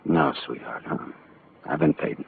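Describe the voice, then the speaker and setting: A man's voice: very deep voice, measured pace, vocal fry, exaggerated voice. A man playing a wisecracking hard-boiled private detective, dry and clipped, in 1940s radio acting. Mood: wry. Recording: tinny old radio broadcast, faint hiss.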